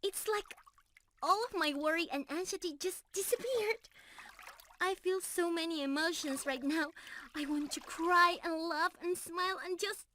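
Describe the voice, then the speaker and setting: A sweet, adoring young mermaid, played by a woman, speaks slowly in a high, bright voice. She is openly emotional and affectionate, as a lover in an intimate ASMR romance roleplay. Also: slight background noise, full character voice. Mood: overjoyed, tearful. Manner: affectionate, breathy sighs and giggles, emotional.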